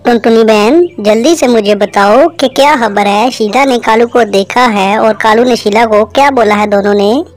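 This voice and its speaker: Woman voicing an eager, high-pitched male cartoon animal. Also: normal speed, few pauses, lightly acted. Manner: eager, enthusiastic. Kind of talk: animated moral story dialogue. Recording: music under the voice.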